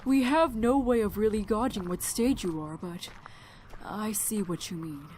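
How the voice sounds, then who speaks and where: A woman's voice: medium pitch, measured pace, a little mellow, slight background noise, natural voice. An actress playing a perceptive woman doctor in a zombie apocalypse audio drama, chatty and matter-of-fact. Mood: frank, understanding.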